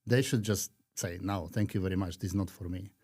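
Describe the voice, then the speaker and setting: A man in his fifties from Slovakia with a Slovak accent, talking earnestly and reflectively as a podcast guest, with frequent pauses. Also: low-pitched, steady tone, a little mellow. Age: 50s. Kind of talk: conversational interview.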